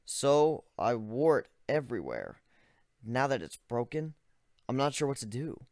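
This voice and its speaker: A man performs a young griffin inventor in a dramatic storybook reading. He is methodical and analytical, with a weary, exasperated streak. The voice sits at a middle pitch in a very dry space. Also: big pitch swings, unhurried, a little mellow, dry recording. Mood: weary, downcast. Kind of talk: dramatic reading of first-person fan fiction.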